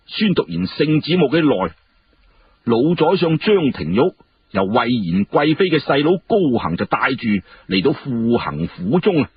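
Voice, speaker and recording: A male storyteller narrates in a lively, colloquial way. His voice is very husky and swings widely in pitch, on a slightly dull recording. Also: a little slow. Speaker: storyteller narrating historical fiction. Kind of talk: animated colloquial Cantonese storytelling.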